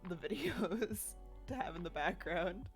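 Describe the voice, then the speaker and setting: A young woman with a slightly low voice chats on stream from her bedroom, sniffly from dust. She rambles and laughs as she talks, over faint background music. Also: animated, measured pace. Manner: rambling, chatty, laughs often, reads chat aloud. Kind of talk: casual chat while drawing on stream. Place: home bedroom.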